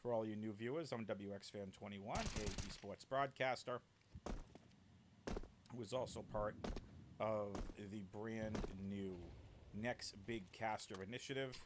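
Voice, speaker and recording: A man casting an esports match solo, with a deep voice, calling the plays and chatting with viewers, and excitable enough to build to shouts on big plays. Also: unhurried, background noise. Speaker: esports caster. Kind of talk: solo play-by-play esports commentary.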